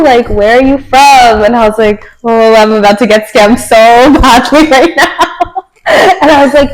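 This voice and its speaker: A woman's voice: a little high, low-quality recording. A young woman from Canada with a Canadian accent, a podcast guest talking casually, filling her speech with many "like"s and laughing often. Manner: chatty, filler-heavy with many likes, laughs often.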